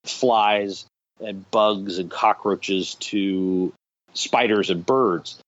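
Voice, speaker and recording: A man's voice: deep voice, steady tone, extremely slow, highs cut off. A man speaking as a radio theatre director in an interview, explaining things conversationally, step by step and with examples.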